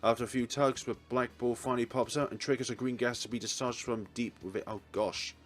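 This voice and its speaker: A man's voice: medium pitch, fairly even intonation, a little fast, soft background music. A man reading a visual novel aloud as a let's player, now and then stumbling over words and correcting himself.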